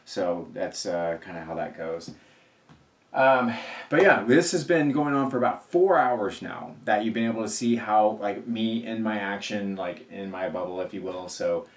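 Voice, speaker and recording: A man's voice: lowish voice, animated, normal speed. A man in his thirties vlogging casually, rambling with many fillers and restarts. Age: 30s. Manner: rambling, filler-heavy, repeats himself. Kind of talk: casual talk to camera. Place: indoors at home work space. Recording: roomy echo, faint music behind.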